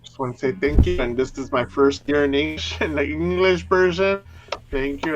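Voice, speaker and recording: A man's voice: medium pitch, muffled recording. Laughing man chatting on a livestream. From Mexico. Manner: laughs easily, rambling. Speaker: guest tarot reader. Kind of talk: casual livestream chat.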